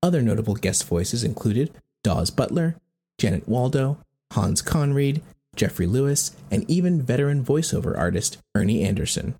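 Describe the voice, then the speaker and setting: A man's voice: medium pitch, lively intonation, moderate pace, dark tone, husky. An American man hosting a podcast, reading scripted narration. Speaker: podcast host.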